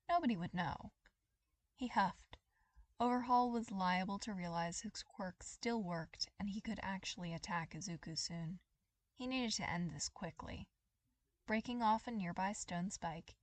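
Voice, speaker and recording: A woman reads fiction aloud with expressive, dramatic delivery. Her pitch is mid-range for a woman, and the recording is close and dry, with very little room sound. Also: no echo. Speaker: podfic reader.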